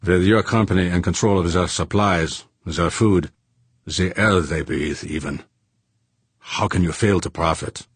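A man voicing a dogged businessman in an audiobook, formal and deliberate, insistently pressing accusations. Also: deep voice, slightly rough, no room sound.

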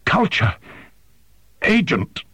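A wounded alien agent who wheezes and croaks in short, broken bursts, as a male narrator acts him out. The voice is strained and lifted in pitch, and the recording is dry and close. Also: huge pitch swings, very slow, pushed and bright, no room sound, heavily acted. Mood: desperate.

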